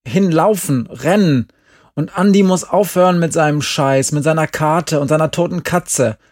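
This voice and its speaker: A man voicing a frantic, bossy teenage boy who shouts and swears in an audiobook. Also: fairly high pitch, normal speed, no echo, clean studio sound, voice pushed up, close to an everyday voice. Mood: urgent.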